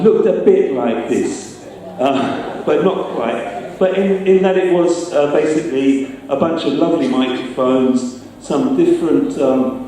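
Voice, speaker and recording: A middle-aged man giving an informal talk, speaking hesitantly with pauses mid-phrase. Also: mid-range voice, warm tone. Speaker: sound designer giving a talk. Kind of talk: informal public talk with slides. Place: large echoey room, speaking to an audience. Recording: distant, very echoey room with some noise.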